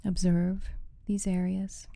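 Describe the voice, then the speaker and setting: Female meditation guide, very soft dark tone, even pitch, soothing and measured, close dry sound. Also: no room sound. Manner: soothing, measured, with soft breathy phrase endings. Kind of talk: guided meditation, slow instruction.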